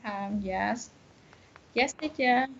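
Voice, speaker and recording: A woman with a Vietnamese accent, a student speaking English in an online lesson, halting and searching for words with many restarts. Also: mid-range voice, creaky, fairly bright tone, dull audio.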